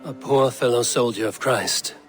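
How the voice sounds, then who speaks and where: A man playing a stoic Viking clan leader in a video game, grave, measured and plain-spoken. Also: mid-range voice, husky, faint music behind, lightly acted. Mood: businesslike. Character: stoic Viking clan leader, male warrior, steady and resolute.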